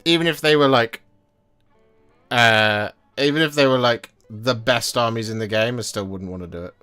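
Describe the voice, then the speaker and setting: A man in his mid-thirties talks casually at his desk with a bright, forceful tone. He rambles and corrects himself mid-sentence, and faint music plays in the background. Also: mid-range voice, moderate pace, smooth. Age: 30s. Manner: rambling, self-correcting, candid. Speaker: hobby streamer. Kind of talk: casual chat while painting miniatures. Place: home painting desk.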